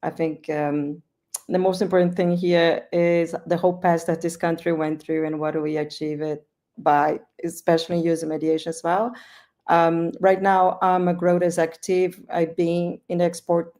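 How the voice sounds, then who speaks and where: Low-voiced Brazilian woman executive. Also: flat delivery, moderate pace, mellow. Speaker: business executive. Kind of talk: panel self-introduction.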